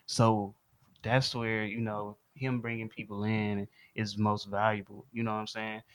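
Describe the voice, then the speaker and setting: A man's voice: lowish voice, level tone, unhurried, no room sound. A man explaining his view in casual, slangy conversation.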